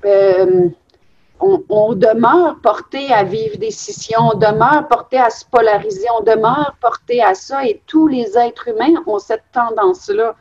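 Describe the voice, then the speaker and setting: Husky woman, muffled. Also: on the high side, animated, measured pace, a little mellow. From Belgium. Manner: reflective, explanatory. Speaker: guest author. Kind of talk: interview conversation.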